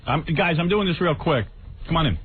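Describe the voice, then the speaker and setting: A man's voice: on the high side, expressive, fairly quick, narrow, tinny audio, background noise. A man hosting a radio show, casually bantering with guests and questioning them in a blunt, teasing way. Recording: thin band-limited radio sound, studio chatter.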